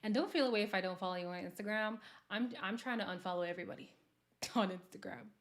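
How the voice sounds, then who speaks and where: A woman's voice: medium pitch, fast talker. A woman from Montreal hosting a casual livestream, talking candidly and laughing often as she answers her viewers' comments. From Montreal, Canada. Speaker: livestream host.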